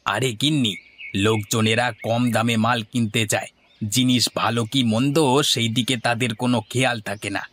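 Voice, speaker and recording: Male actor as crooked cartoon milkman, greedy, bossy, brusque, medium pitch. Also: fairly even intonation, moderate pace, fairly bright tone, a little hiss or hum, natural voice. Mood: irritated, dismissive. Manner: brusque, self-justifying. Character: greedy, cheating village milkman, bossy husband. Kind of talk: scripted cartoon dialogue.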